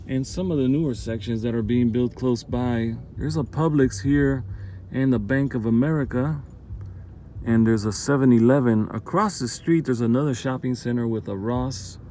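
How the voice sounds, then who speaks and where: A man's voice: mid-range voice, normal speed. A man giving an unscripted driving tour from inside a car, pointing out passing sights in short phrases. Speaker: vlogger narrating a drive. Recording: loud car and road noise. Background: car engine and traffic noise.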